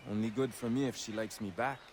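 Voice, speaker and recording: A man's voice: lowish voice, fairly even intonation, fairly quick, a little mellow, a little hiss or hum, lightly acted. An actor playing an eager, lovestruck frontiersman with a French accent in a video game. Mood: smitten, admiring. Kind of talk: video game cutscene acting.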